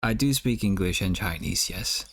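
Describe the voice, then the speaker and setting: A man casually chatting on a live stream, hesitantly giving feedback on art, often pausing and repeating words as he thinks. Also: low-pitched, creaky.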